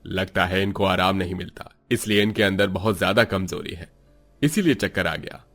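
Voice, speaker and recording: A woman's voice: rumbling low, normal speed, fairly bright tone, dry recording, soft background music, pitched below the performer's own voice. A woman voicing a serious male doctor, dropping her voice far below her own. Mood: grave. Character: stern male doctor, calm and grave.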